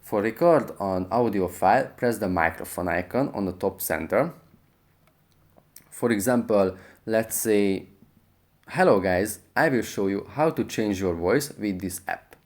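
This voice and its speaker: A man's voice: lowish voice, lively intonation, unhurried. A man presenting a how-to tutorial as its host, in scripted narration.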